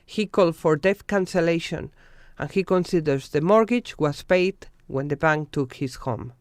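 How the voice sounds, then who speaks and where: A documentary narrator reading a scripted news report in a measured, formal way from a studio voice-over. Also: mid-range voice.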